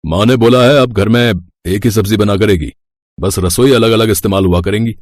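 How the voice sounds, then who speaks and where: A man's voice: fairly low pitch, steady tone, a little fast, dry recording, studio quality, lightly acted. A man voicing an ordinary grown son and husband in a cartoon family story, conversational in manner. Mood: curious. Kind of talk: Hindi moral-story cartoon voice acting.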